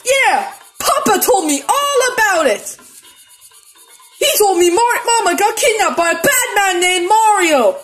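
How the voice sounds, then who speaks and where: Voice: extremely high pitch, sing-song, unhurried, fairly bright tone, heavily acted. An actor voicing a bratty, gullible young monster prince in a video game cutscene, with childish, indignant outbursts. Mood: boastful. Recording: laptop speaker re-recorded, music behind.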